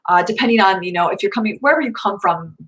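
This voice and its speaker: A young woman talks her way casually through viewer questions at home, her voice a little hoarse. She rambles and restarts, filling gaps with "um" and "like." Her pitch sits in a plain middle range. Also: animated, fast, fairly bright tone. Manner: chatty, rambling with many fillers. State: slightly hoarse. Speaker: vlogger answering viewer questions. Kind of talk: casual live Q&A chat.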